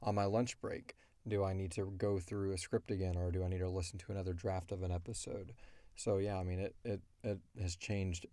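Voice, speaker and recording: A man's voice: low voice, level tone, a little fast, warm tone, no echo. A man hosting a podcast in casual question-and-answer chat, rambling along with self-deprecating jokes. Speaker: podcast host and creator.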